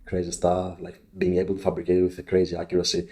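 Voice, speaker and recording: A man with a Greek accent and a fairly low voice talks as a guest on a casual podcast. He speaks haltingly, with many fillers and restarts. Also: monotone, a little mellow. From Greece. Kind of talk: casual interview chat.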